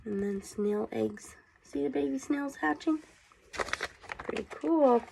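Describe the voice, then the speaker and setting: A woman's voice: high voice, slow, vocal fry, warm tone, a little hiss or hum, poor audio. A woman teacher reading aloud to children, with chatty asides and questions for her young listeners.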